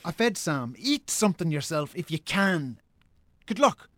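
An actor playing a farmer's brisk, exasperated brother in a radio drama, scolding impatiently in an Irish accent. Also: male voice, fairly high pitch, big pitch swings, a bit bright, a little hiss or hum. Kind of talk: naturalistic radio drama acting.

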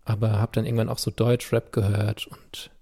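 A man, a musician, talking candidly and reflectively about his career in a relaxed, slangy interview. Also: lowish voice, normal speed, slightly rough. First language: German. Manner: laid-back, reflective, slangy. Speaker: musician guest. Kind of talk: candid casual interview talk.

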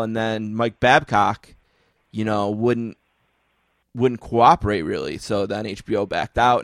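Chatty low-voiced male podcast host. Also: dry recording, clean studio sound. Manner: chatty, self-deprecating, quick asides. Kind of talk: casual chat.

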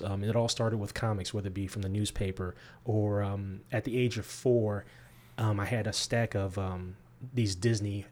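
A man born in Georgia and raised in the Midwest, a guest author answering interview questions thoughtfully, with frequent pauses. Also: lowish voice, a little mellow. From born in Georgia, grew up in the US Midwest.

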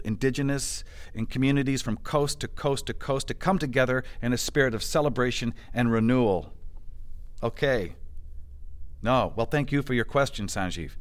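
An actor with a Canadian accent playing a flustered, needy, middle-aged political boss in a satirical audio drama, stammering and hesitant. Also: male voice, medium pitch, lightly acted. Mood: smooth official.

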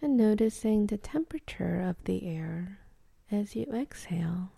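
Very slow, mellow woman guiding meditation. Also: medium pitch, gravelly, breathy edge, dry recording. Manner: calm, unhurried, gentle, with long pauses. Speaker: meditation guide. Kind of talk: guided meditation.

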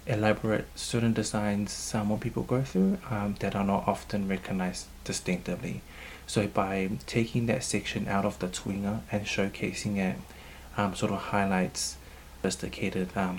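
Low-voiced New man, thoughtful. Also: slight background noise. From New Zealand. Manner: thoughtful, hesitant, frequent um fillers. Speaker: cultural leader. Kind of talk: interview answers.

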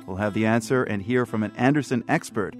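A man's voice: on the low side, brisk, soft background music. A man presenting a radio magazine programme and interviewing guests as its host.

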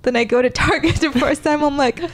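A woman's voice: sits high, a little fast. Chatty woman hosting a casual podcast. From Turkey. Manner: chatty, frequent "like". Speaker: podcast host. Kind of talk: casual conversational interview.

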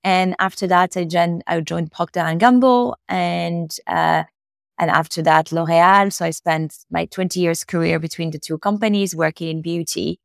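A woman in her forties from Morocco, answering interview questions thoughtfully and pausing often. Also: fairly low pitch, fairly even intonation, vocal fry, no room sound, clean studio sound. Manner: thoughtful, with frequent fillers and restarts. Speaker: guest, beauty company founder.

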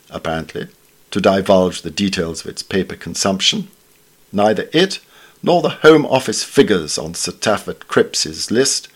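A man reading literary essays from a script in a formal, measured manner. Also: lowish voice, breathy edge. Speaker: reader of newspaper essays.

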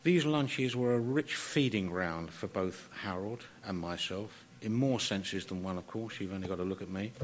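A man delivering a formal, prepared eulogy in a measured, courteous and wordy manner. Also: on the low side. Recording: clear background noise, live event.

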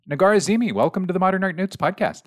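A man's voice: sits high, lively intonation, fast, no room sound, studio quality. A man hosting an art podcast, reading sponsor spots and asking his guest questions with some restarts. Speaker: podcast host.

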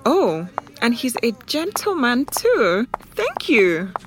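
A woman's voice: on the high side, big pitch swings, slow, background noise. A woman playing a friendly, playful young office colleague in an audio drama. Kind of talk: naturalistic audio drama acting. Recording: music behind the voice.

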